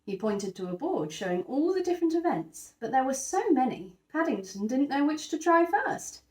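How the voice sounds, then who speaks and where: Female storyteller for young children, expressive and lively in pitch, emphatic on key words, echoey room sound. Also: moderate pace. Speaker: teacher reading a children's picture book aloud. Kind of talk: expressive scripted story narration.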